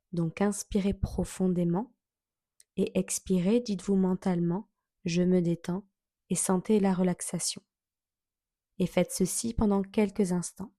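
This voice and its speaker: A woman leading a guided yoga relaxation as an instructor, giving soothing, measured instructions with long pauses. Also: lowish voice, dark tone, dry recording, studio quality.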